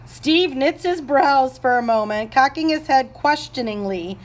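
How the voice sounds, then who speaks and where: A woman with a fairly high voice narrates a script. She reads the stage directions aloud as the narrator. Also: unhurried.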